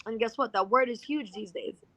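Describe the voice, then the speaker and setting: A woman's voice: fairly high pitch, normal speed, fairly bright tone, slight background noise, rough recording. A woman speaking emphatically in a motivational conversation as an interview guest, repeating phrases to drive points home. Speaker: financial services entrepreneur, interview guest.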